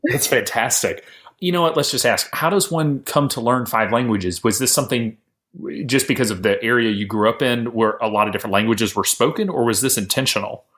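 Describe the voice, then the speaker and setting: A man hosting a podcast interview in casual chat, friendly and quick to laugh, often interjecting. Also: mid-range voice, fairly bright tone. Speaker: podcast host.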